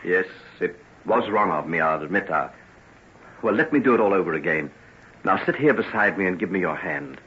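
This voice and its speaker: An actor playing a troubled, remorseful gentleman doctor, earnest and theatrical, in an old-time radio drama. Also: low voice, animated, moderate pace, breathy edge, heavily acted. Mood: tender, coaxing. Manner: earnest, theatrical, formal phrasing. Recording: muffled, noisy old radio recording.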